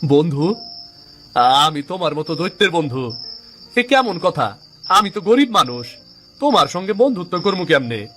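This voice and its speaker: A man's voice: sits high, big pitch swings, moderate pace, pauses often, a bit bright, soft background music. A man playing a poor, kind-hearted village cook in a cartoon, with an earnest, humble manner and a rural Bangladeshi accent. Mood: nervous, amazed. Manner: earnest, humble, homely dialect. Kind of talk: cartoon story dialogue.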